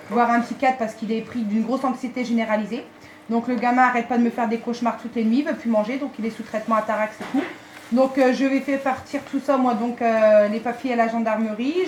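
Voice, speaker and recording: A woman on a phone call, speaking as an aggrieved parent in an insistent, detailed way. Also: a little high. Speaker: parent of a pupil. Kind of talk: phone conversation, recounting a complaint. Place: recorded telephone call. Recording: echoey phone call recording, faint noise.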